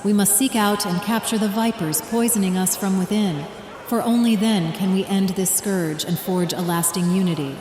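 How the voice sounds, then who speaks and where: A woman's voice: medium pitch, close to an everyday voice. A woman playing a devout, fervent religious leader in an audio drama, with solemn, declamatory delivery. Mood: rousing, solemn. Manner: solemn, declamatory, deliberate oratory. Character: fervent, devout female religious leader rallying her people. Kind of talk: first-person audio drama narration with speeches and prayer. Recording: echoey hall reverb, ambient noise behind.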